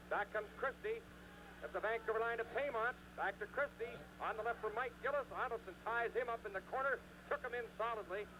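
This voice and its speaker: A man giving live, excitable play-by-play hockey commentary in an old radio broadcast. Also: high voice. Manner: excitable, rising on scoring chances. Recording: noisy vintage 1970s radio broadcast.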